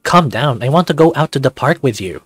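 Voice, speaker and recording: A friendly, teasing young deer guy with antlers, as a man voices him in a visual novel read-through. He sounds light and playful, pitched a touch above a normal male voice. The room is dry and close, with faint music underneath. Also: expressive, fairly quick, fairly bright tone, slightly rough, no room sound.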